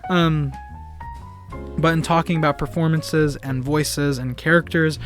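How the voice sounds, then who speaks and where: A man's voice: on the high side, fairly even intonation, measured pace, soft background music. A young man giving a casual film review. He talks earnestly and enthusiastically and often repeats words and restarts his sentences.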